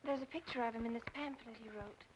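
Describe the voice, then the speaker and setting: A woman playing a worried, skeptical young sister with a somewhat high voice. She presses her point impatiently in old film noir style, on a noisy soundtrack. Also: fast, close to an everyday voice. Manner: insistent, exasperated. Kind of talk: mid-century film noir acting.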